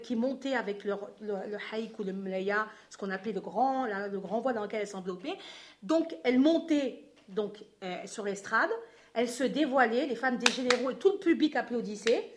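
A woman speaking off the cuff in a public discussion, emphatic and building her argument haltingly as she goes. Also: on the high side. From Algerian descent, living in France. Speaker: panel speaker at a feminist festival. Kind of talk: impassioned spontaneous public discussion.

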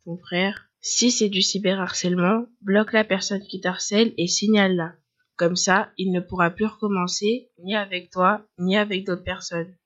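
A young female-sounding student in early teens reading a scripted advice segment in an earnest, plain manner. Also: medium pitch. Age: child, early teens. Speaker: student advice columnist on school radio. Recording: dull lo-fi recording.

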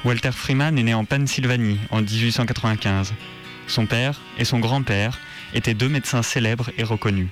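Halting French man presenting on radio. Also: mid-range voice, steady tone, music in the background. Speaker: radio show contributor. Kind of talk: radio presenting, partly read from script.

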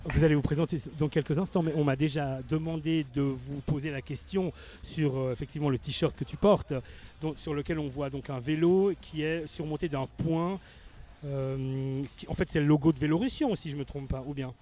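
A man's voice: a little high, moderate pace, warm tone. A man hosting a casual radio interview, chatty, laughing easily and tripping over his words. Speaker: radio host.

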